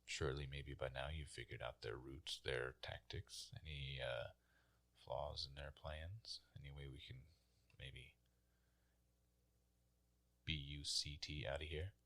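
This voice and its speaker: A man playing a huge, dim-witted human prisoner in a tabletop game, speaking in halting, terse lines. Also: a bass voice, fairly even intonation, creaky, bright, forceful tone, exaggerated voice. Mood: probing. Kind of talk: improvised tabletop role-play.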